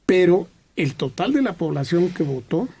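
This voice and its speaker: A man's voice: high voice, big pitch swings, normal speed, warm tone, breathy edge. A Mexican man commenting on a radio panel, hesitant with many fillers but opinionated.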